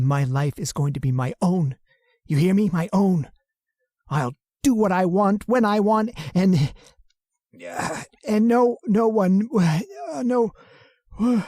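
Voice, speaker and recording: A man's voice: sits high, dark tone, no echo, exaggerated voice. Weak scheming husband played by a male actor: stammering, nervous, dry close sound, old-time radio horror. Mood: bitter, defiant, then dazed, failing. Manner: stammering, hesitant. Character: weak, nervous husband secretly plotting murder, defiant.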